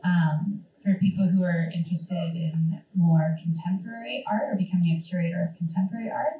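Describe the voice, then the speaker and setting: A woman speaking as a museum curator at a college career talk, just getting over a cold so her voice cracks a little, talking in a relaxed way with many ums. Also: lowish voice, a little fast, muffled recording, a little hiss or hum. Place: college lecture room with audience. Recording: echoey room, distant mic.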